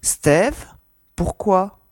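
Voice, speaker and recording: A woman's voice: medium pitch, sing-song, extremely slow, no room sound, studio quality. An actress playing a skeptical young woman officer in a sci-fi audio drama, questioning and coolly teasing. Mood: skeptical, coy. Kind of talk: French audio drama dialogue.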